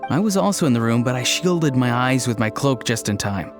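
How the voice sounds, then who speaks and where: An actor playing an earnest, kindly young man in a video game, telling whimsical tales in a gentle, matter-of-fact way. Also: mid-range voice, expressive, brisk, soft background music, lightly acted.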